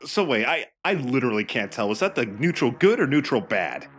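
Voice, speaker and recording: Very animated man, incredulous game commentary. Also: medium pitch, brisk, slight background noise. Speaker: let's play co-commentator. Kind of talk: casual chat, joking game commentary. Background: game music.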